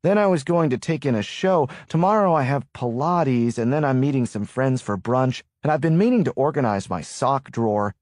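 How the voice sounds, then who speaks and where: A man's voice: mid-range voice, lively intonation, moderate pace, few pauses, darkish tone, no room sound, clean studio sound, close to an everyday voice. A man voicing a sarcastic, exasperated thriller hero in audiobook dialogue. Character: sarcastic, exasperated male amateur sleuth hero.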